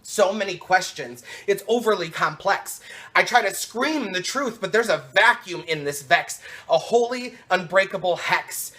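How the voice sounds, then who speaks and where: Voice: mid-range voice, expressive, measured pace, sharp tone. A slam poet performing rhymed spoken word with an emphatic, dramatic and rhythmic delivery.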